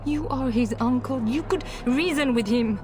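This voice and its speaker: Actress voicing a desperate noblewoman, halting. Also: sits high, background noise, lightly acted. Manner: anxious, halting, breathless pleading. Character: frightened noblewoman pleading for help.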